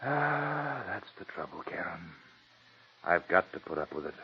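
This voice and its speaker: An exasperated theater man who talks with emphasis. His voice is gravelly and husky, and it swings widely in pitch. This is a muffled old radio play. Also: sing-song, unhurried, exaggerated voice. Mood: resigned. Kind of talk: 1940s radio acting. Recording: dull old radio broadcast.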